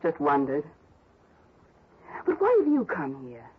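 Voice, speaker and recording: A woman's voice: sits low, wildly swooping pitch, slow pace, husky, heavily acted. An actress playing a blunt, slangy widow who does her own repairs, in a 1960s radio drama. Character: brash widow, handy and mercenary. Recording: dull old radio broadcast.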